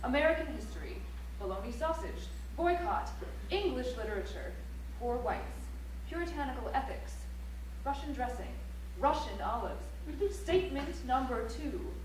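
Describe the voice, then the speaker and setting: A woman's voice: fairly high pitch, animated, moderate pace, natural voice. A woman playing a prim, didactic reciter of rules, delivering them precisely like a manual on stage. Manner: precise, instructive, list-like. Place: library auditorium stage. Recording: echoey auditorium, distant mic, faint hum.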